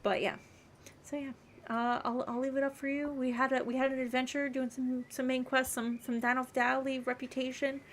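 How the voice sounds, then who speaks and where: Chatty woman streamer with a high voice. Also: moderate pace, a little hiss or hum. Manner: chatty, rambling, animated with emphatic repeats. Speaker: game streamer. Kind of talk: casual livestream chat to viewers.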